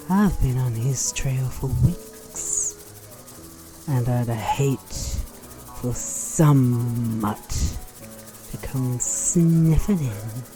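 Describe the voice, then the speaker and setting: A woman's voice: extremely low pitch, mellow, exaggerated voice. A woman playing a sly, venomous bounty hunter in a Western audio drama, with a sugary, mocking Southern American drawl. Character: sly, venomous female rival bounty hunter in Old West. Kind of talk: Western audio roleplay acting.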